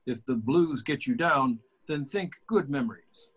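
A man reading the part of a sympathetic, slightly pushy therapist in a sitcom table read, with a husky voice. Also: medium pitch, measured pace, a bit bright. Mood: firm, sympathetic. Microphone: video call.

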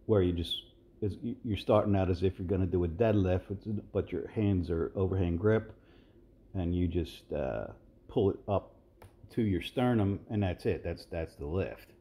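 Low, dark-voiced man in his sixties. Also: normal speed. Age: 60s. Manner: rambling, relaxed, many fillers and restarts. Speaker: fitness video host. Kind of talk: casual voice-over commentary.

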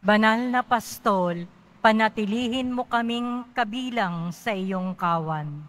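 A woman's voice: a little high, takes it slowly. A woman serving as mass commentator, leading the congregation's responses with firm, formal announcing.

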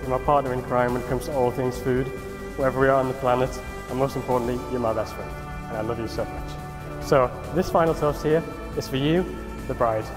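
A man's voice: medium pitch, fairly even intonation, fairly quick, few pauses, big-room sound, music in the background. A man, the groom, giving a plain-spoken, affectionate wedding speech to his bride. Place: wedding reception venue.

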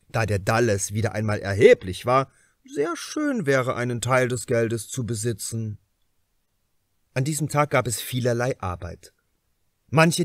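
A man's voice: medium pitch, no room sound, clean studio sound. A man reading a crime novel aloud as a scripted audiobook narration.

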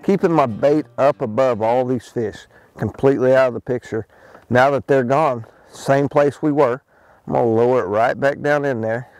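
Middle-aged male angler, outdoors on a lake boat, relaxed instructional talk with frequent fillers. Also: mid-range voice, moderate pace, dry recording, faint music behind, rough recording. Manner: relaxed, explains as he fishes, many ums and restarts. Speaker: fishing pro. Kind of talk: casual how-to fishing talk.

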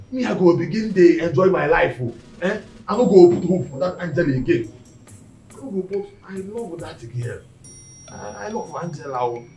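A man's voice: a little high, mellow. A man with a Nigerian accent playing a boastful, lovesick, ever-hungry house worker in a comic film, dramatic and full of repeated exclamations. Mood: griping, animated. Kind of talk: comic film acting in Pidgin. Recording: roomy echo, faint music behind.

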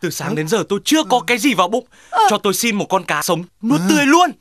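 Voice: mid-range voice, very animated, quick pace, fairly bright tone, no echo. An actor voicing a reluctant, grumbling animal in a comic cartoon dub. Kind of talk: Vietnamese children's cartoon dubbing.

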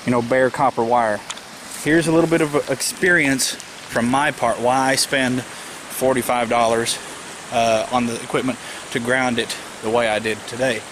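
Man explaining outdoors over loud noise. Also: medium pitch, fairly even intonation, moderate pace. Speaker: amateur radio hobbyist and vlogger. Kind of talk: casual how-to explanation. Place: outdoors in a rural yard. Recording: outdoor camera audio, loud background noise. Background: loud outdoor ambient noise.

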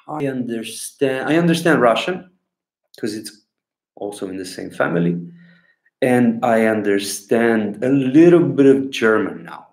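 A man in his thirties from Bulgaria, speaking English with a Bulgarian accent, rambling and pausing to find words as he answers questions into a laptop webcam at home. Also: lowish voice. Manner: unhurried, rambling, pauses to search for words. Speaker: clown answering viewer questions. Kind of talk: casual talk to camera. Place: small room at home, indoors. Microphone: laptop webcam at desk. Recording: echoey room on a laptop mic.

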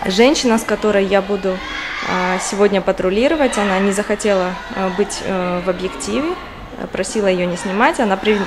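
A woman from Kazakhstan walking through a school and chatting to her viewers, filming on a handheld camera. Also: mid-range voice. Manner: chatty, explaining to viewers with small laughs and filler sounds. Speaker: vlogger. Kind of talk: casual walking vlog narration. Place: inside a large school building, rooms and corridors, partly outdoors. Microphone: handheld camera, speaker off screen. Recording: camera recording with clear background noise.

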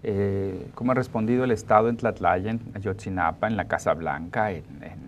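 A man's voice: fairly low pitch, moderate pace, a little hiss or hum. A man in his forties with a Mexican accent, hosting an informal studio discussion in a colloquial, digressive way on a lapel mic. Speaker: talk show host.

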